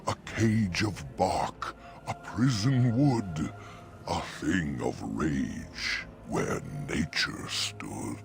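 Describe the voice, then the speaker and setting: An actor playing an ancient, courteous talking oak in a fantasy game, speaking archaic rhymed verse in a grandiose, gravelly voice. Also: male voice, low-pitched, expressive, very slow, over music, exaggerated voice. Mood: wistful, solemn.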